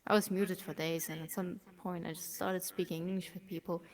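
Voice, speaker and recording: A woman speaking English with a German accent, an esports operations manager chatting in an interview, often trailing off into soft near-whispers. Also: fairly low pitch, level tone, fairly quick. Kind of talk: casual interview chat.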